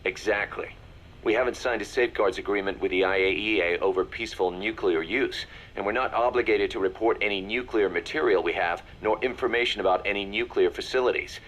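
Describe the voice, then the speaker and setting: A man plays a serious army deputy in a video game. His voice is on the low side, and he delivers information in a measured, businesslike way. A faint noise sits behind him. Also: a bit bright, close to an everyday voice. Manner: crisp, businesslike, measured briefing. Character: serious male military second-in-command briefing his boss. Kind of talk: video game voice acting, mission briefing.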